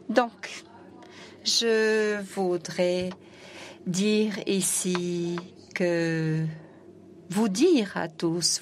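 A woman interpreting a conference speech live, keeping a steady flow with many fillers and restarts. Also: on the low side, a little hiss or hum.

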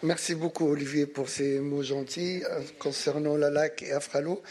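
A man chairing a meeting, formally introducing the next speaker in a halting way, with restarts. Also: a little high, steady tone, noisy background.

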